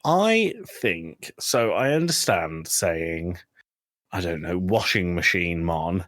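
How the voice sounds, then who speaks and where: A man's voice: low voice, lively intonation, slow pace, a bit bright, dry recording, studio quality. A man hosting a podcast in casual chat, with teasing, sweary banter. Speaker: podcast host.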